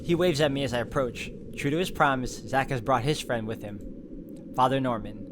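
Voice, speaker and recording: A man's voice: mid-range voice, brisk, background noise. A man hosting a gameplay video, reading visual novel narration aloud as a story.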